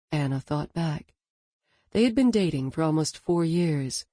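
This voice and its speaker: A woman reading a novel as an audiobook narrator in a calm, even storytelling manner. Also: sits low, no echo, clean studio sound. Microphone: studio microphone.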